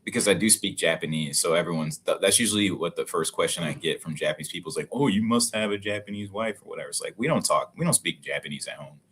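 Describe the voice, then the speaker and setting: A man's voice: low-pitched, fast talker, few pauses, a bit bright, slight background noise. A middle-aged man chatting casually as a guest on a livestream, laid-back and swearing now and then. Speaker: guest, card collector.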